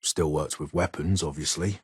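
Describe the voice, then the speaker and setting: An actor playing a gruff, irritable older ghoul weapons engineer in a video game, with a British accent, a gravelly rasp and a curt, guarded manner. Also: male voice, very deep voice, fairly even intonation, moderate pace, no room sound, studio quality, full character voice. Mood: gruff, reminiscing. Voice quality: raspy, gravelly. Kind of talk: video game character dialogue.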